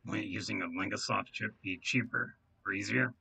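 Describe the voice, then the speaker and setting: A man's voice: lowish voice, steady tone, moderate pace, vocal fry, sharp tone, husky, voice pushed down, exaggerated voice. A man voicing a male player character who asks short, plain questions in a video game read-aloud. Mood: questioning.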